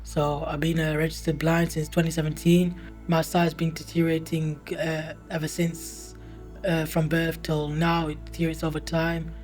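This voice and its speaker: A man in his early twenties talking hesitantly in a documentary interview, with halting phrasing and frequent restarts. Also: a little high, monotone, soft background music. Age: 20s. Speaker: interviewee, university student.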